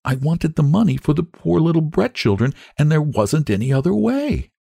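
A man puts on an earnest little storybook girl. The voice is lifted high and a bit husky, polite and guileless, sweetly matter-of-fact as it swings about. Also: big pitch swings, normal speed, mellow, no room sound, clean studio sound, heavily acted. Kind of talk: storybook character voice.

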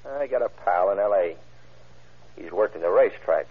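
A hard-boiled American crook in vintage radio drama style, boastful and defiant in street slang. His voice is lowish and rough, heard through a thin, tinny old broadcast recording. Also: lively intonation, moderate pace. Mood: brash, defiant. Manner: slangy, defiant tough-guy talk. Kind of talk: old-time radio crime drama acting.

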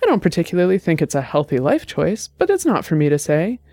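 A narrator voicing a nervous, defensive man whose voice rises high and shaky, near tears. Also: huge pitch swings, quick pace, warm tone, dry recording. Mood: defensive, shrill.

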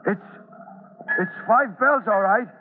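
A man acting an excitable, dutiful young firefighter in an old-time radio drama. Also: high voice, slow. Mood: overjoyed, breathless. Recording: tinny, reverberant, faintly noisy vintage radio.

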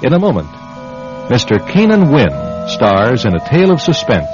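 A man's voice: medium pitch, huge pitch swings, moderate pace. A man hosting and narrating a radio suspense program in a scripted, dramatic storytelling style. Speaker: host and narrator. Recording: muffled old radio broadcast. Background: loud dramatic music.

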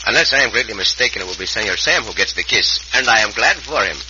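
An actor playing a gallant, good-humored Mexican cowboy hero in an old radio western, with a Mexican accent and courtly formal phrasing. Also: male voice, lowish voice, expressive, fast talker, dull audio, lightly acted. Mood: amused, friendly. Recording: noisy old radio recording.

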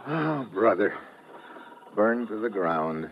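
An actor playing a tough, watchful adventurer in an old-time radio drama, with an American accent and wry, clipped, commanding speech. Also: male voice, lowish voice, sing-song, takes it slowly, full character voice. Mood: tense, watchful. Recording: muffled, noisy old radio recording.

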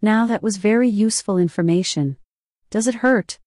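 A woman's voice: medium pitch, moderate pace, darkish tone, no room sound, natural voice. Woman voicing scared young prisoner girl, small careful voice, dry close mic. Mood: timid. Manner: small, cautious, timid.

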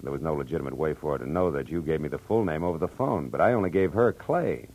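Hard-boiled American detective narrator: deep, laconic, wry, 1940s radio noir. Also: male voice, animated, quick pace, a little mellow, heavily acted. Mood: matter-of-fact, wry. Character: hard-boiled male private eye, wry, world-weary. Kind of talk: 1940s radio drama acting with first-person narration. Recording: vintage 1940s radio broadcast, music underneath.

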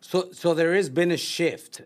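Guy hosting a podcast, highish voice, chatty and wordy, repeats himself while he talks. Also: measured pace. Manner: wordy, repeats phrases while forming questions. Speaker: podcast host. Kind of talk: casual interviewing.

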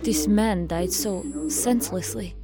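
A woman's voice: mid-range voice, music in the background, close to an everyday voice. An actress playing a compassionate, thoughtful female investigator who speaks analytically in a science fiction audio drama. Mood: somber. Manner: measured, analytical.

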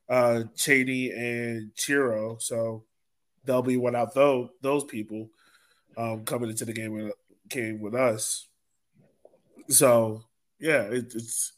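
A man's voice: mid-range voice, fairly bright tone. A man hosting a soccer podcast, talking casually in a rambling way and often repeating himself. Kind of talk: casual sports discussion.

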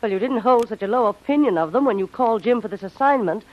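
High female voice, actress as earnest anxious heroine, dramatic vintage radio serial, muffled recording with faint noise. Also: expressive, fast talker, natural voice. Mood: angry. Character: spirited, anxious young heroine, fiercely devoted. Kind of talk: old-time radio drama acting.

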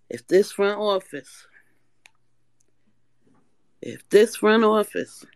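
A hoarse woman calling in to a live fan audio room, talking loud and emphatic, with some swearing. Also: on the low side, no echo. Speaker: caller. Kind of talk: casual fan chat.

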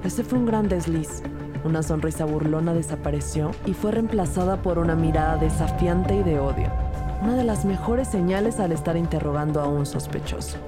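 An actress playing a determined police detective who narrates her investigation in a measured, suspenseful audio drama style. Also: low-pitched, lightly acted. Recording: loud music bed behind voice.

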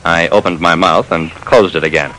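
A man's voice: low voice, fairly even intonation, normal speed, pushed and bright, rough recording. A man narrating a classic radio detective drama as its lead, in a wry, dramatic first-person storytelling manner.

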